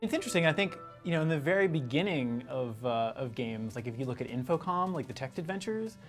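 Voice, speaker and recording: A man's voice: mid-range voice, expressive, fast, soft background music. A man giving a casual, reflective interview as a game designer, tentatively thinking aloud.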